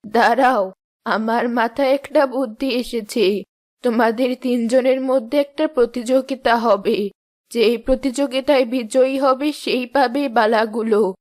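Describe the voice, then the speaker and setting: A woman's voice: on the high side, fairly even intonation, a little slow, a little mellow, lightly acted. A woman playing a commanding, firm mother-in-law who runs her household in a fairy-tale cartoon. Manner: bossy, declarative, firm.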